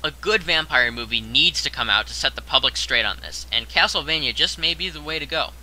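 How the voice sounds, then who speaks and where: A man's voice: a little high, animated, a little fast, sharp tone, a little hiss or hum, poor audio. A young man narrating a scripted top-ten countdown as host, in a conversational way that addresses the viewer directly.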